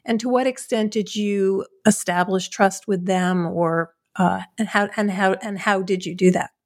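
A woman's voice: medium pitch, fairly even intonation, unhurried. A woman hosting an interview, asking follow-up questions and praising the guest's book with enthusiasm. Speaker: podcast host.

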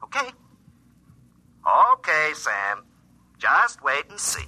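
A man's voice: on the high side, wildly swooping pitch, takes it slowly, background noise, full character voice. An actor playing a man's bossy, knowing future self in a classic radio drama, brusque and smug, speaking through a telephone filter.

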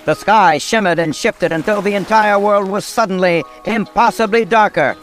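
A man's voice: high-pitched, moderate pace, fairly bright tone, soft background music. A man narrating an old-time radio mystery from a script in a theatrical, portentous manner.

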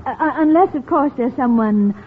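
A woman's voice: high-pitched, lively intonation, moderate pace. An actress playing a coy young traveling saleswoman in classic radio comedy, with hesitant, demure delivery. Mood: coy, flattered. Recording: noisy, narrow-band vintage radio recording.

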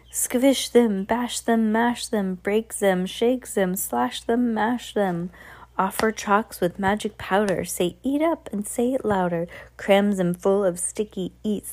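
A woman's voice: mid-range voice, a little mellow. A woman voicing a gloating, commanding evil grand witch in broken English for a storybook reading. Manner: broken grammar, boastful, commanding.

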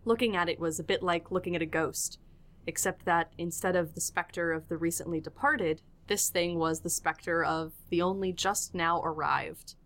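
A woman's voice: fairly low pitch, fairly even intonation, normal speed, a bit bright. A woman narrating a scripted audio drama in a storytelling style.

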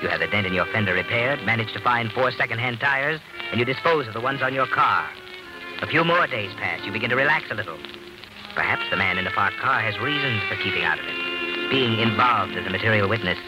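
An actor playing an ominous, sardonic narrator who addresses the guilty directly in old radio suspense style. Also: male voice, fairly low pitch, a little fast, natural voice, low-quality recording. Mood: ominous, knowing. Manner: sardonic, knowing. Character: ominous narrator taunting the guilty in second person. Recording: old radio recording, loud music behind.

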